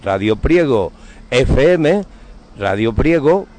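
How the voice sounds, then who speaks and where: A man's voice: medium pitch, very animated, takes it slowly, a little mellow, slight background noise. A man speaks as a local radio interviewer. He is courteous and effusive, favouring florid phrases in a conversational style. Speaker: radio host interviewing on the street.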